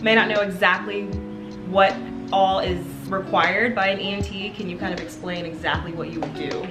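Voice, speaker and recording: A woman's voice: medium pitch, steady tone, normal speed, reverberant, over music. A young woman, a medical student, hosting an interview in an upbeat way. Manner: upbeat, friendly YouTube host. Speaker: host.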